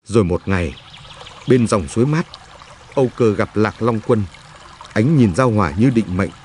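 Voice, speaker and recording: A man reading a scripted legend as a storyteller, in a solemn, measured manner. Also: lowish voice, animated, darkish tone, a little hiss or hum. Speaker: narrator.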